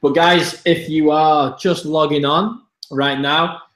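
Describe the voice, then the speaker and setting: A man's voice: a little high, fairly even intonation, takes it slowly, fairly bright tone. A man from the north-east of Wales hosting a live online masterclass, talking casually and speaking straight to his viewers. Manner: chatty, addresses viewers directly. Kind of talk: casual live-stream presentation. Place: room, Budapest. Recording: roomy echo.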